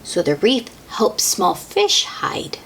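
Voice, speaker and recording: Woman for children's read-aloud: very slow delivery, lively swooping intonation, simple questions to the young listener. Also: on the high side, whispered. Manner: child-directed, asks the listener questions, exclaims in wonder. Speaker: host reading picture books aloud to children.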